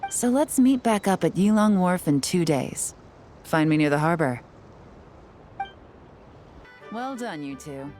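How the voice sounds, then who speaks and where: An actress playing a cool, confident intelligence operative in a video game, briefing allies with composed assurance. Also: female voice, fairly low pitch, lively intonation, moderate pace, a little mellow, soft background music, close to an everyday voice. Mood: calm, approving.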